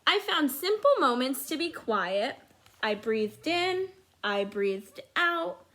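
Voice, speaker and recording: A woman voicing a dutiful, overburdened good egg in a children's storybook, with earnest, dramatic, drawn-out emphasis. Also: high voice, big pitch swings, a bit bright, exaggerated voice. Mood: calm.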